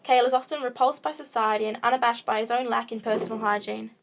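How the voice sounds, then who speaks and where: A woman's voice: fairly high pitch, fairly even intonation, quick pace, vocal fry. A woman podcast host reading a scripted analytical essay about fiction aloud. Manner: reading aloud from a prepared text. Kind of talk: scripted essay narration, film and book analysis.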